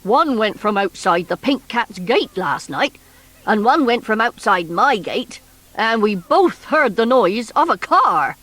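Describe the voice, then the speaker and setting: A woman's voice: fairly high pitch, very animated, measured pace, a bit bright, no echo, heavily acted. A woman voicing a stern, demanding male toy policeman in a children's storybook. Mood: stern, accusing.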